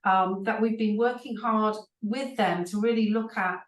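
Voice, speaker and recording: A woman's voice: mid-range voice, steady tone, measured pace. A woman executive speaking as a panel guest, explaining her company's approach fluently with the odd "um". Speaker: chief people officer, panel guest. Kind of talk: conversational panel talk. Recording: echoey room, distant mic.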